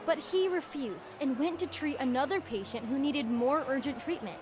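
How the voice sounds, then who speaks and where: An actress playing an earnest, inquisitive young student in a video game. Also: female voice, sits high, moderate pace, background noise, close to an everyday voice. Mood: indignant. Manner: enthusiastic, earnest. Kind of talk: scripted game voice acting.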